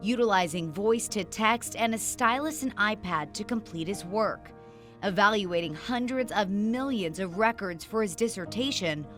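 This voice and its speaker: A woman's voice: mid-range voice, lively intonation, normal speed, soft background music. A woman working as a TV news reporter, reading a scripted feature narration.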